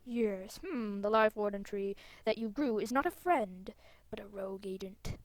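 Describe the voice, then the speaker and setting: A woman playing a concerned leader of fantasy riders in a game, measured and formal with an ominous manner. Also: mid-range voice, natural voice. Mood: grave, concerned.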